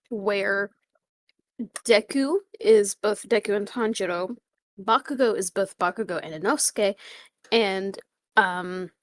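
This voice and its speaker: A woman's voice: mid-range voice, dry recording. A woman co-hosting a casual podcast, talking in a chatty, blunt way. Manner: chatty, blunt, fan-culture talk. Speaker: podcast co-host.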